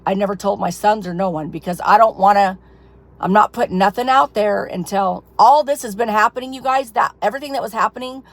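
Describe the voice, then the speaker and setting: A woman who is losing her voice, telling a rambling personal testimony to her followers, full of fillers. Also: mid-range voice. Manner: rambling, filler-heavy storytelling. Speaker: ministry leader. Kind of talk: casual personal testimony to camera.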